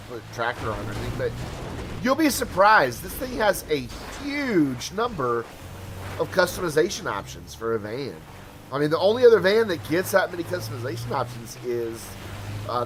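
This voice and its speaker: A man's voice: a little high, noisy background. A man talking casually over gameplay footage as a channel host, chatty and full of asides, his voice tired from hours of talking. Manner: chatty, rambling asides, self-deprecating.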